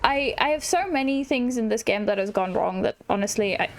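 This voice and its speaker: A woman hosting a gaming video, chatting casually and slowly as she reacts to the game aloud. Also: fairly high pitch, animated, slight background noise. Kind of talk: casual let's play commentary with dialogue read aloud.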